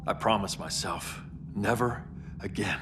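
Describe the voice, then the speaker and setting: A man's voice: fairly low pitch, unhurried, a little hiss or hum. A man playing a commanding, embittered superhero turned tyrant in a video game cutscene, intense and persuasive. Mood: pleading, haunted. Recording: loud score over dialogue.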